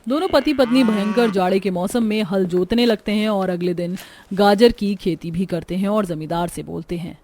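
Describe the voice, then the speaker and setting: A woman's voice: mid-range voice, normal speed, darkish tone, a little hiss or hum. A woman narrating a scripted cartoon story as the storyteller.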